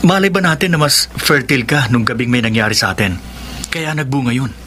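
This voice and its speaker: A man's voice: mid-range voice, lively intonation, brisk, pushed and bright, a little hiss or hum. A man playing a guilty, conciliatory betrayer in a Filipino radio soap, earnest and melodramatic, with a Filipino accent. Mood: firm, reassuring. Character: Paolo, guilty but calming male betrayer, conciliatory.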